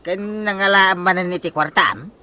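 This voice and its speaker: A woman playing an excitable, gullible nag in an Ilocano-accented comedy radio drama, full of exclamations. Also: mid-range voice, a little slow. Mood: grumbling. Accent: Filipino Ilocano. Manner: exclamatory, swooping.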